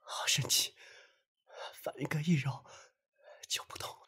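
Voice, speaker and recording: A man playing a gentle, wistful young man in love in an audio drama, speaking softly and earnestly. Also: medium pitch, measured pace, natural voice. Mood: hurt, faint. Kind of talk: Chinese audio drama acting. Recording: reverberant, with music behind.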